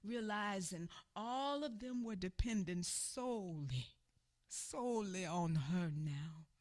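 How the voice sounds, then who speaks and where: A woman's voice: medium pitch, expressive, slow, a little mellow. A woman narrating a radio theater play in a mournful, theatrical way, drawing out her phrases. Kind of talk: dramatic scripted narration.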